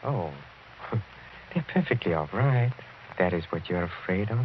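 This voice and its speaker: An actor playing a suave, half-mad older count with silky, courteous menace in a 1940s radio drama style. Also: male voice, deep voice, lively intonation, measured pace. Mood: courteous, sinister. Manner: silky, courteous, theatrically hesitant, chuckling. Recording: muffled, noisy vintage radio recording.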